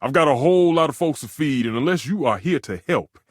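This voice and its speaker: An actor playing a proud, temperamental, food-obsessed stove chef in a video game, with pompous, flowery delivery and exasperated outbursts. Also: male voice, fairly high pitch, expressive, a little fast, dry recording, clean studio sound. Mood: haughty, irritated. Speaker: voice actor in a dating simulator game. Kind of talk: theatrical video game character acting.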